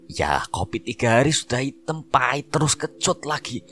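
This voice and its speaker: A man's voice: mid-range voice, sing-song, normal speed, fairly bright tone, a little hiss or hum, voice pushed up. A man voicing a jovial middle-aged male rickshaw driver with a Javanese accent, joking and chummy.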